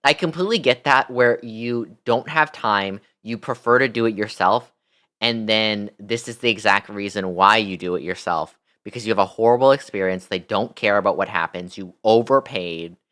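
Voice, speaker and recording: A woman's voice: a bass voice, moderate pace, fairly bright tone. A woman streamer reacts in a casual, conversational way. She is blunt and swears freely, yet speaks with open sympathy for the people she comments on.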